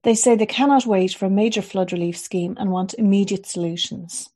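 A woman hosting a podcast, gently interviewing a guest and reading a news roundup. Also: medium pitch, a little fast, slightly rough. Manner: gentle, friendly, conversational. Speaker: podcast host.

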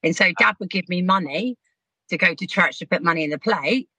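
Brief: woman, 50s, podcast guest, laughs through her stories, bright tone. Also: lowish voice, a little fast, slightly rough, dry recording. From Southampton, England. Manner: chatty, frank, laughs while telling stories. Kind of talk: casual storytelling chat.

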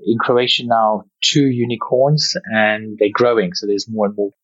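Husky low South African man, lo-fi line. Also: normal speed, a bit bright, dry recording. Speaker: guest, company founder. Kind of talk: casual interview answers.

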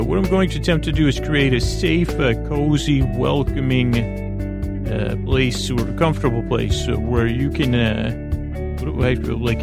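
Creaky-voiced man rambling through tangents. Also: medium pitch. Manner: meandering, full of tangents and fillers. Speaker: podcast host. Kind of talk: rambling bedtime podcast intro.

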